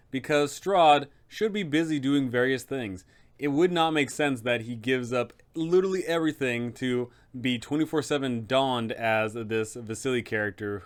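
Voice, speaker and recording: A man with a mid-pitched voice hosts a tabletop game guide. He explains things informally, with emphatic, opinionated advice for game masters. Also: smooth.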